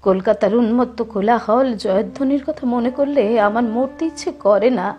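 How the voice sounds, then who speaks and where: A woman's voice: a little high, normal speed, warm tone, lo-fi sound, soft background music. A woman reciting literary prose as a reader. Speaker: reader of a poet's words.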